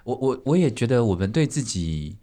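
A man's voice: mid-range voice, very animated, a little fast, dark tone. A man with a Taiwanese Mandarin accent talking casually and reflectively as a podcast host. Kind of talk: casual conversational talk.

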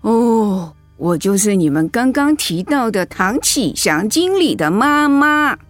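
A woman's voice: a little high, sing-song, slow pace, dry recording, faint music behind. A woman acting a protective middle-aged mother-in-law in a radio drama, outspoken and motherly. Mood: breezy, polite. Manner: indignant, emphatic when provoked, motherly.

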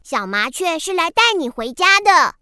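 A tiny, bright-voiced candy-tree fairy in a children's radio play. She is bossy and grumpy, swooping dramatically from huffs to scolding. The voice is extremely high and sharp. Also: big pitch swings, normal speed, no room sound, clean studio sound, full character voice. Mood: impatient.

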